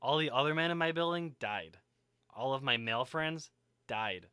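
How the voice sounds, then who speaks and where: Sharp-voiced, sarcastic young man played by an actor. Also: medium pitch, animated, normal speed, clean tone, no echo, studio quality. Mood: earnest. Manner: sarcastic, casual, swearing. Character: wisecracking, stubborn, lovesick young man, last male survivor. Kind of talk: audio drama acting.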